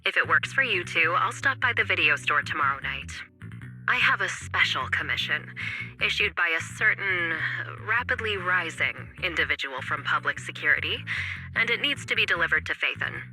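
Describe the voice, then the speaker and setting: A woman voicing a sly, composed operative in an anime game, teasingly polite with a coy, measured delivery. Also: fairly low pitch, animated, pushed and bright, low-quality recording. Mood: businesslike, coy. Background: faint game music.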